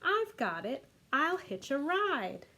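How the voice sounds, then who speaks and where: A woman's voice: high voice, sing-song, slow, bright, forceful tone, voice pushed up, exaggerated voice. A woman voicing a tiny, yearning sea snail from a storybook, earnest and eager for adventure.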